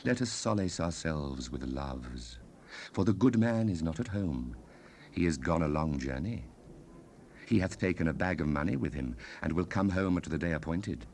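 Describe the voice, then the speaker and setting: Man voicing a hushed, coaxing seductress, low-pitched. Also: expressive, moderate pace. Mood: coaxing, seductive. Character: seductive, flattering, brazen married woman of loose morals. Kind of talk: dramatized Bible reading. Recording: 1970s cassette rip with hiss.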